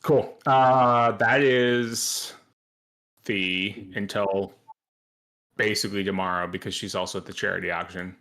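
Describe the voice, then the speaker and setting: Male game master on a call, slow delivery, filler-heavy and self-muttering. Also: lowish voice, fairly even intonation, a bit bright. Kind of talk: running a tabletop game session.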